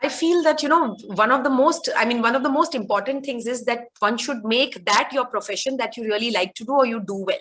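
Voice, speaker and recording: A woman's voice: a little high, brisk, hardly any pauses, fairly bright tone. A middle-aged woman author with an Indian accent, answering interview questions in a rambling way, thinking aloud and often restarting her sentences.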